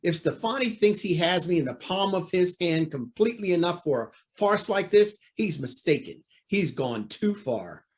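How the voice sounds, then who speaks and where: Man acting a high-voiced, indignant judge. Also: lively intonation, moderate pace, hardly any pauses. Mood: indignant, agitated. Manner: indignant, formal, emphatic. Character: proud, indignant male judge under pressure. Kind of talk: old-time radio drama acting.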